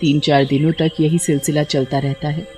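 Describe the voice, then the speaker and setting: High-pitched male storyteller over music. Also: little pitch movement, normal speed, darkish tone. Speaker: narrator. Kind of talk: scripted story narration.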